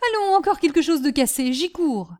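A woman's voice: high voice, expressive, a little fast, a little mellow, pitched above the performer's own voice. A woman voicing an exasperated, mocking middle-aged man, master of a household, with a scolding, emphatic delivery in a storybook reading. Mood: alarmed, exasperated.

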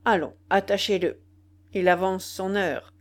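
A woman's voice: medium pitch, steady tone, a little slow, close to an everyday voice. Woman acting a vengeful, raging dwarf sorcerer, theatrically emphatic. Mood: gloating, menacing. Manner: theatrical, emphatic, cursing with Spanish words mixed in.

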